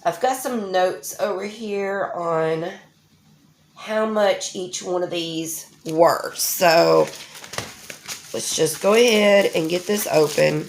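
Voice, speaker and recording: A woman's voice: lowish voice, slow, gravelly, slight background noise. A woman, stuffy and nasal from a head cold, chatting casually as the host of a crafting unboxing vlog. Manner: chatty, homey, with many ums.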